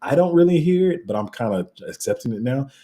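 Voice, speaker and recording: A man with a deep, rumbling voice hosting a casual livestream, reading out viewer comments and rambling along with sudden excited jumps in pitch and a slight cough. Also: fast. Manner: rambling and animated, voice jumping up when excited. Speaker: crypto livestream host.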